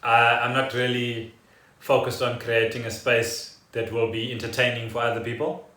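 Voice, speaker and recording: A man with a medium-pitched voice talks casually as a podcast co-host. His phrasing is halting and deliberate. The sound is roomy and echoey, a little away from the mic. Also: flat delivery, pushed and bright. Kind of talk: casual unscripted chat. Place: echoey room at home. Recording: roomy echo, distant from mic.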